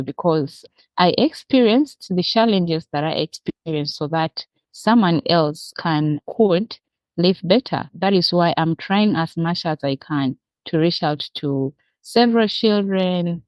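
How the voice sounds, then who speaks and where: A woman's voice: fairly low pitch, a little mellow, no echo. A woman from Uganda with a Ugandan accent, explaining her work earnestly as an interview guest. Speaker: interview guest, social worker. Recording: dull, muffled lo-fi call audio.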